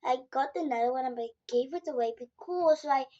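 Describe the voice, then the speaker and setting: A woman with a South African Afrikaans accent, a native Afrikaans speaker, chatting casually to viewers in a halting, simple way. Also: high voice, mellow, muffled recording. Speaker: vlogger. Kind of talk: casual vlog chat to viewers.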